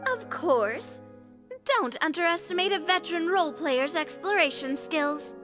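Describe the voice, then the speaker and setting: A woman's voice: very high-pitched, expressive, measured pace, a bit bright, exaggerated voice. A woman playing a confident, bubbly young lady adventurer in a video game, with a proud, playful manner. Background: game music.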